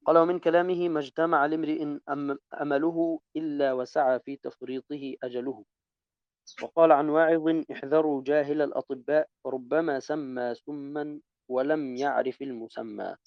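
A man reading a classical Arabic text aloud to a teacher in a steady, even recitation, correcting his own slips as he goes. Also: on the high side, moderate pace, dry recording, highs cut off.

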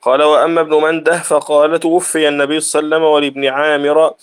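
Guy reading an old scholarly book out loud formally, like a student in class, slightly high voice. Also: flat delivery, racing, smooth. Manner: formal recitation of written text. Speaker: student reading aloud to a religious teacher. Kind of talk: reading a classical Arabic text aloud in an online lesson.

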